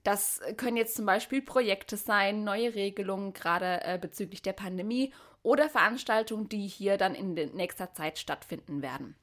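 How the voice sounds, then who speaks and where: Twenties woman co-host, clear scripted read. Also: mid-range voice, moderate pace, few pauses, fairly bright tone. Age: 20s. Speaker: podcast co-host. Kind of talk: scripted podcast narration.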